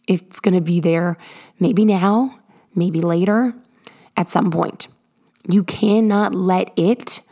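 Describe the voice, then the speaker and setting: A woman with a hoarse, raspy voice, rough with gravel and sitting a little low, hosts her podcast from a garage. She talks earnestly and encouragingly, stretching out the words she wants to stress. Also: darkish tone. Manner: earnest, encouraging, drawn-out emphasis. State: hoarse from illness. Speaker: podcast host. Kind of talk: motivational podcast monologue.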